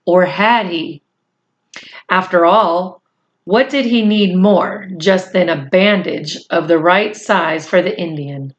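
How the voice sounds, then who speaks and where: A woman reading a storybook aloud as narrator, in measured, deliberate phrases. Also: lowish voice, muffled recording.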